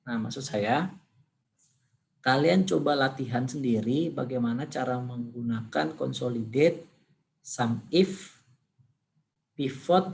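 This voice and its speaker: A male lecturer, his voice hoarse and gravelly with fry. He walks a class through a demonstration in a loose, informal way, thinking aloud with fillers. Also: mid-range voice, darkish tone, poor audio.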